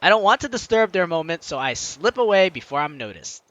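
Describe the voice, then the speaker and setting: A man with a high, very lively voice narrates a video game aloud as its host. His delivery is bright and forceful, slipping into playful, teasing commentary, with music playing underneath. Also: fairly quick, no echo, lo-fi sound. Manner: playful, teasing, exclamatory, talks to the audience. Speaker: let's-play host. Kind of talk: reading game text aloud with excited commentary.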